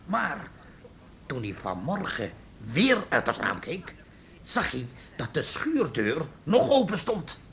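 A man's voice: wildly swooping pitch, takes it slowly, gravelly, a bit bright, heavily acted. An actor playing a cautious, obsequious city sheriff reporting to his superior in a Dutch radio drama. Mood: deferential, hesitant. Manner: obsequious, hesitant. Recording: noisy old radio play, rumble.

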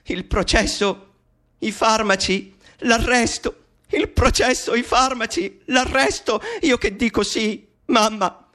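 Broken, persecuted math genius pleading with his mom, high voice, bitter and intimate, radio drama. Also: fairly even intonation, slow, pushed and bright, full character voice. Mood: despairing. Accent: Italian. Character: anguished, persecuted male mathematician confessing to his mother. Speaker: actor in a radio play.

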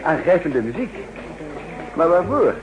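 A man's voice: fairly high pitch, very animated, unhurried, breathy edge, exaggerated voice. An actor playing a man making conversation at a soirée in a 1960s radio drama. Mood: wry, curious. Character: male dance partner at an embassy soirée, conversational. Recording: muffled old radio recording with music. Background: dance music.

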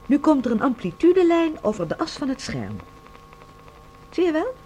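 An actress playing an anxious, earnest woman on the run, speaking excitably in a Dutch radio drama. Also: high-pitched, normal speed. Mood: earnest explaining. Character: anxious, earnest woman fugitive, helpful accomplice. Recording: noisy radio drama recording.

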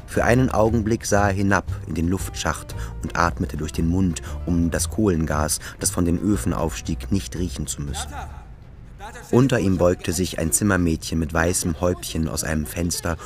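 A man's voice: sits low, normal speed, faint music behind. A man reading literary scripted narration as the narrator of a radio drama.

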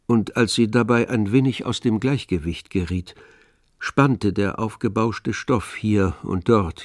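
A man's voice: on the low side, no room sound, clean studio sound. A man narrating a radio drama adapted from a novel in a scripted literary style.

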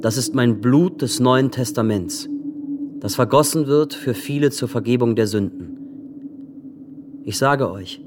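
An actor playing a solemn, prophetic teacher in a German radio drama, with grave, measured declamation. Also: male voice, mid-range voice, background noise, close to an everyday voice.

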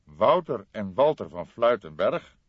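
Man playing a deep, probing veteran detective. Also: big pitch swings, husky, no echo, lo-fi sound, exaggerated voice. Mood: calm, measured. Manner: deliberate, insistent. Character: calm, probing old male police detective. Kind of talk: audiobook character dialogue.

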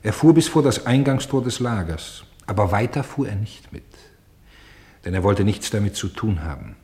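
An actor playing a grave, sorrowful storyteller in a radio play, with solemn and deliberate phrasing. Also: male voice, fairly low pitch, animated, dark tone. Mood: somber. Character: grave, sorrowful male storyteller bearing witness to tragedy.

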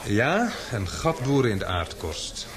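An actor playing a courteous, somewhat hesitant newspaper reporter in a radio play. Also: male voice, mid-range voice, sing-song, a little slow. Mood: baffled, defensive. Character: polite, slightly awkward male newspaper reporter. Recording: old radio drama with busy crowd murmur.

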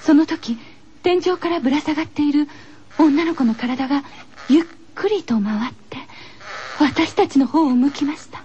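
A woman acting a frightened but resolute young woman in a haunted school, tense and breathy, in a Japanese horror radio drama. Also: high-pitched, normal speed, warm tone, muffled recording, slight background noise, lightly acted. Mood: hushed dread.

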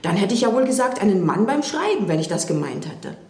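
An actress playing a reflective woman recalling a difficult man in a radio drama interview, speaking in a measured way. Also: medium pitch, sing-song, darkish tone, roomy sound. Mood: emphatic quoting.

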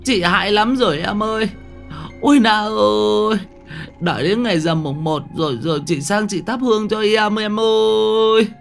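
A man's voice: steady tone, moderate pace, vocal fry, soft background music. A man voicing a terrified older village woman who stammers out frantic pleas. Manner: stammering, high-pitched, panicked pleading.

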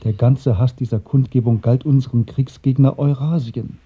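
An actor playing an earnest, troubled younger man in a radio drama, speaking intensely and insistently in a husky voice. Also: medium pitch, steady tone, moderate pace, lightly acted. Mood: urgent, troubled. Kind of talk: 1970s German radio drama acting. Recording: muffled, phone-like old radio recording.

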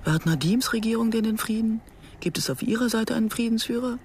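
A woman's voice: on the high side, a little fast, darkish tone, slight background noise, close to an everyday voice. An actress playing a passionate, argumentative woman who tells her own story in a German radio drama, sardonic and insistent. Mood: sarcastic, angry.

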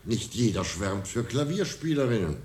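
A man's voice: fairly low pitch, very animated, moderate pace, a little mellow. A man playing a brash, easygoing businessman in a radio drama, drawling and sardonic, in a roomy echo. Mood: sardonic, defiant. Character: brash, easygoing businessman who mocks others. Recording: roomy, echoing studio scene.

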